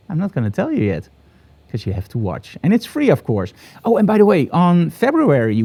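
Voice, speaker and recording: Upbeat Dutch-accented man, mellow voice. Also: medium pitch. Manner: upbeat, enthusiastic, promotional. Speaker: photography host. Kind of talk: live broadcast chat. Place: photo studio.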